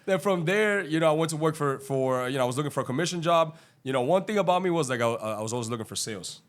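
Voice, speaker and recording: A man's voice: fairly high pitch, animated. A man from Cuba, a guest on a podcast, chatting casually about his career, swearing freely and rambling with many fillers. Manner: profane, rambling, talks fast with lots of fillers. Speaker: guest, day trader.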